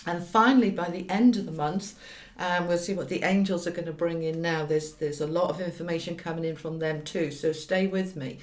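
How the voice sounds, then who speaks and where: Low-pitched woman in an echoey room. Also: fairly even intonation, brisk. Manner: conversational, direct address, emphatic repetition. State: slightly croaky voice. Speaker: tarot reader and hypnotherapist. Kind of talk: informal tarot reading to viewers. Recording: roomy, echoey sound.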